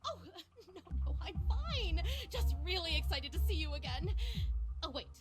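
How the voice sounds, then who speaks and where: A young game researcher with a high, animated voice. She comes across as earnest, eager and a little nervous, fawning over her colleague. Music is clearly heard behind her. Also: moderate pace. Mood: giddy, nervous. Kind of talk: video game voice acting.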